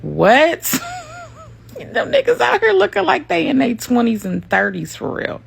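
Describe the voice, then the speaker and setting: A woman's voice: high voice, wildly swooping pitch, a little slow, gravelly, a little hiss or hum. A woman talking casually and with amusement, laughing and stretching words for emphasis. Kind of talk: casual commentary clip.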